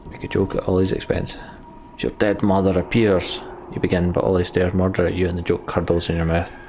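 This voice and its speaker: Male let's play narrator: low, gravelly voice, storytelling delivery, faint background noise. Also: monotone, fast, a little mellow. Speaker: let's play host reading game text aloud. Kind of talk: dramatic storytelling narration with casual commentary.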